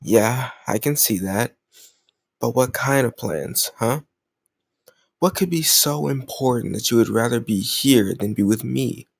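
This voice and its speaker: Man playing a cocky, gravelly flirt. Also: medium pitch, no room sound. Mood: teasing, mock-hurt. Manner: teasing, cajoling, smug, drawn-out words. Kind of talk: intimate romantic audio roleplay.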